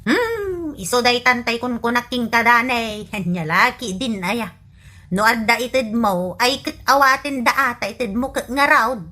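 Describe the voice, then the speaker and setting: An actress playing a blunt, exasperated village wife who nags sarcastically, in an Ilocano radio comedy drama with an Ilocano Filipino accent. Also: female voice, a little high, a little slow, bright, forceful tone. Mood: nagging, mocking.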